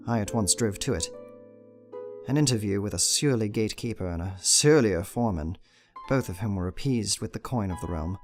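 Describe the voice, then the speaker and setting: An actor playing an earnest, determined young Victorian gentleman, narrating a journal in a formal, measured way in an audio drama. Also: fairly low pitch, lightly acted.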